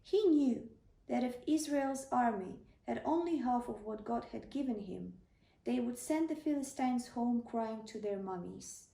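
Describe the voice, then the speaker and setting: A woman's voice: fairly high pitch, expressive, a little slow, creaky, warm tone. A woman narrating a scripted children's bedtime story as a storyteller. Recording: echoey room, slightly distant microphone.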